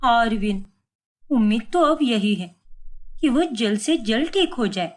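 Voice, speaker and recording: A woman's voice: on the high side, lively intonation, a little slow. A woman voicing a short-tempered young mother who answers sharply and defensively. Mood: worried.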